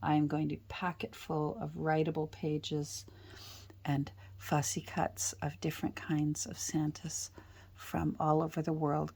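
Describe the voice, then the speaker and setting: A woman with a stuffy, congested cold, chatting casually while she crafts, rambling softly and often dropping into a whisper. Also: low voice, creaky, slightly rough. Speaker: journal-making crafter. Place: indoors at a craft worktable.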